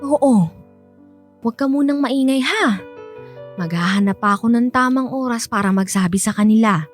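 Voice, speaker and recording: A woman's voice: a little high, moderate pace, faint music behind, close to an everyday voice. A woman acting in a radio drama as an affectionate, bashful older mother in love. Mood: tender.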